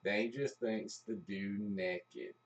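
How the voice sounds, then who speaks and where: A man's voice: on the low side, fairly even intonation, takes it slowly, distant mic. A man hosting a live stream with casual, jokey banter and storytelling.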